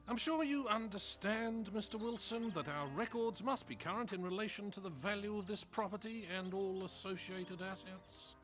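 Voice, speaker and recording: An actor playing a prim, fussy bank official who speaks formally and precisely in a film comedy-drama. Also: male voice, sits high, normal speed, few pauses, over music, natural voice. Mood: officious.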